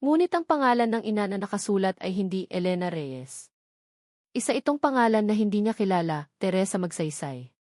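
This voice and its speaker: A woman's voice: mid-range voice, big pitch swings, fairly quick, a little mellow, no room sound. Woman narrating drama, dry close sound. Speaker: narrator of love-story audio dramas. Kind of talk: scripted dramatic story narration.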